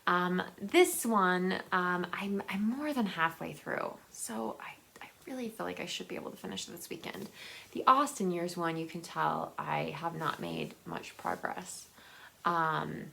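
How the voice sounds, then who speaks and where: A woman's voice: fairly low pitch, unhurried, creaky, pushed and bright, slight background noise. A woman chatting casually and enthusiastically to viewers in a rambling, conversational book vlog. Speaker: book vlogger.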